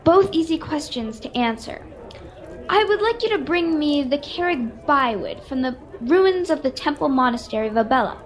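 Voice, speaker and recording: A mysterious adviser in a radio fantasy serial, acted by a woman, speaks in a high voice. She is courteous and measured, choosing formal words as a knowing patron would. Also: lively intonation. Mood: cryptic. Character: mysterious cloaked woman, well-spoken adviser and quest-giving patron. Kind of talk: radio-serial adventure acting. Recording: home video-call recording.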